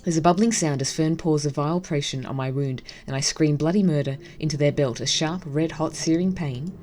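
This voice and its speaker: A woman's voice: low voice, brisk, mellow, a little hiss or hum. A woman hosting a gaming video, casually commenting and reading the story text aloud. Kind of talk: casual game commentary and read-aloud narration.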